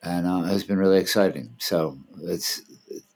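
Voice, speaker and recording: Man, 50s, low voice, relaxed, searching for words, video call. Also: normal speed. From Washington, D.C. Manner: relaxed, hesitant, many fillers. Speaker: filmmaker and festival founder, guest. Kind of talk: casual interview over video call.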